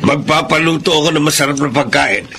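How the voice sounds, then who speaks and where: A man's voice: fairly high pitch, brisk, pushed and bright. A man playing a jolly, doting old grandfather in a radio drama, affectionate and given to chuckling. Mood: delighted. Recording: clearly noisy radio drama track.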